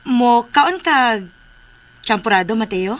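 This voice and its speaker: A woman's voice: a little high, expressive, takes it slowly. A woman playing an anxious, insistent young woman who keeps questioning others in a radio drama. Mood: hesitant, coy. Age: young adult.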